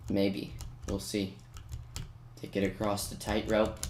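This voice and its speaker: A man's voice: fairly low pitch, completely flat delivery, slow pace, a little mellow. A man giving casual, self-mocking and playfully boastful commentary over his own gameplay. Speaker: gaming video maker. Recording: roomy, noisy home recording with keyboard clicks.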